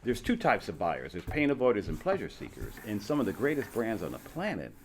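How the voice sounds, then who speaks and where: A man's voice: on the low side, huge pitch swings, a little fast, a little hiss or hum. A man hosting an interview, coaching his guest on branding and explaining with everyday examples. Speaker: podcast host and branding coach. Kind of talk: casual interview chat.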